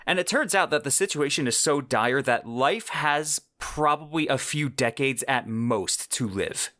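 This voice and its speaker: Male podcast host, mid-pitched voice, dry close mic, casual explanatory tone. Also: fairly bright tone, dry recording. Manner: explanatory, casual with fillers like "whatnot" and "kind of". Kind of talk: solo book review.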